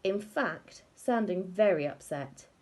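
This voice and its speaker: Woman reading a kids book out loud, mellow slightly raspy voice, really animated. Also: fairly low pitch, slow. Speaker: reader of a children's storybook. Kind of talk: animated storybook read-aloud.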